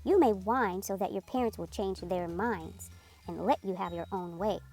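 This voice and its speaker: A woman reading a children's picture book aloud in a clear, gently instructive way. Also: medium pitch, normal speed, faint music behind. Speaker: storyteller.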